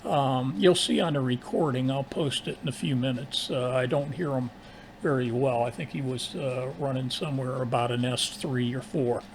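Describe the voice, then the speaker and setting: A man with a gravelly, creaky voice chats as an amateur radio operator. He talks in a casual but technical way, often halting as he goes. A light layer of noise sits behind him. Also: medium pitch, rough recording. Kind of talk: casual ham radio exchange. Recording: constant hiss, ambient background noise.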